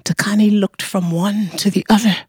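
A woman storyteller narrating a folktale dramatically, expressive and rhythmic, repeating words for effect. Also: mid-range voice, moderate pace, breathy edge, no echo, clean studio sound.

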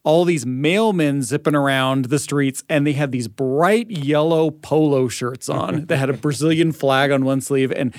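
A man's voice: fairly high pitch, unhurried, few pauses, dry recording, clean studio sound. An American man chatting casually as a panelist on a radio show. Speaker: producer, panelist. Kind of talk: casual chat. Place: studio.

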